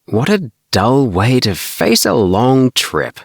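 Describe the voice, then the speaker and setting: A man's voice: medium pitch, sing-song, takes it slowly, no room sound, studio quality, voice pushed up, exaggerated voice. A man voicing a cheery, talkative young male space traveller in an audiobook, with a friendly, eager manner. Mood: cheery, chatty. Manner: chirpy, friendly.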